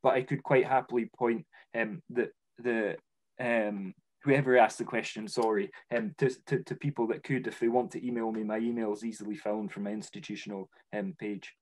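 A man speaking as an academic panelist on a video call, modest and halting, often repeating words. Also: fairly low pitch, steady tone, a little mellow, low-quality recording.

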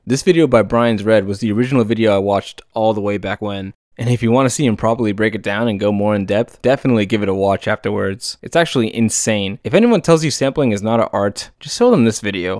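A man's voice: lowish voice, brisk, few pauses, a little mellow, dry recording, clean studio sound. A man giving a casual, playful and enthusiastic scripted voice-over about music. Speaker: music video essayist.

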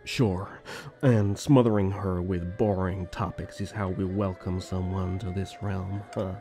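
A man playing a flirtatious, teasing rival elf prince in a fantasy audio drama, with a smooth, mocking courtesy. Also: low voice, fairly even intonation, unhurried. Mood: sardonic.

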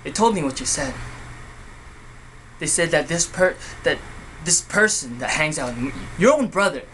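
A man acting a hurt younger brother in an emotional dramatic monologue, speaking in a halting, emotionally raw way. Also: on the high side, animated, bright, forceful tone, slight background noise, low-quality recording. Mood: hurt, accusing. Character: hurt younger brother pleading with self-centered older brother.

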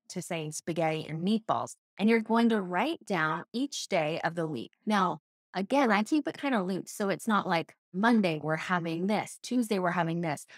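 Woman in her 30s, podcast host, lower pitch, chatty and animated, dry home-office sound. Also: dry recording. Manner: chatty, expressive, gesturing. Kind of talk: casual solo podcast advice.

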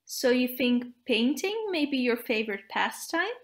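A woman voicing a kind, upbeat young heroine in a children's storybook, in a gentle, encouraging manner. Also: high voice, very animated, a little slow. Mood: curious.

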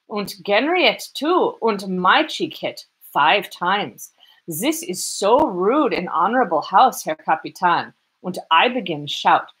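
An excitable, fawning landlady, as a woman puts her on, who tumbles through broken English in a heavy German accent. Her pitch swings widely and her voice pushes louder than the narration. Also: low-quality recording. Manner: broken English, rapid and indignant.

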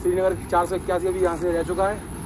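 A man's voice: high voice, monotone, fast talker, crowd noise, background noise. A man vlogging from the roadside in a cold mountain town, chatting to viewers and reading out road distances, slightly unwell from the altitude. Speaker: travel vlogger. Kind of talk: casual travel vlog chat. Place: outdoors by a roadside sign in cold mountain sunlight. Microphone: phone camera at arm's length.

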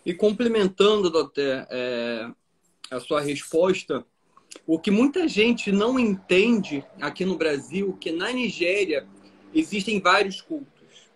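A man's voice: sits high, animated, slow, slight background noise. A man with a Brazilian accent explaining religious distinctions didactically on a live stream, checking that listeners follow. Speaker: Orisha priest as guest on a live stream.

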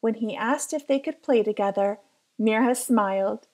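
A woman reading a children's picture book aloud as careful, expressive storytelling. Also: a little high, moderate pace. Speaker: storybook reader.

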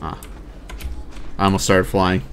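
A man giving casual, animated, exclamatory gaming commentary with plenty of swearing. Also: low-pitched, unhurried, slight background noise. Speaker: let's play streamer. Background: video game music and effects.

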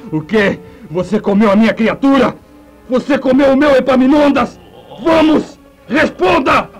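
An actor playing an excitable, bossy mad scientist in a comic radio play, given to agitated orders. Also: male voice, very high-pitched, a little slow, faint music behind. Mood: frantic, outraged. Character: excitable, irate male mad scientist doctor.

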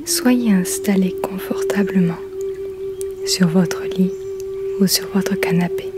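Female relaxation guide, soothing ASMR whisper, very unhurried, for guided sleep hypnosis over clearly heard flute music. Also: mid-range voice. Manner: whispered, breathy, soothing delivery.

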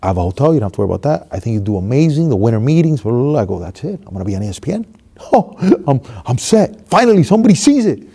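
Miami guy in his 30s telling a story on stage, far camera, goes quiet then loud. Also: medium pitch, racing, slightly rough. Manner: animated, swings from hushed to loud. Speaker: motivational speaker. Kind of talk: storytelling monologue. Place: stage with red curtain, seated.

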